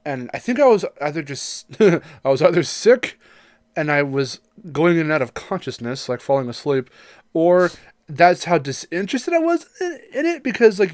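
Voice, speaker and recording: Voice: medium pitch, big pitch swings, moderate pace, no echo, studio quality. A podcast co-host chatting casually about games in a rambling, joking way, with some swearing. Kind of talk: casual gaming podcast chat.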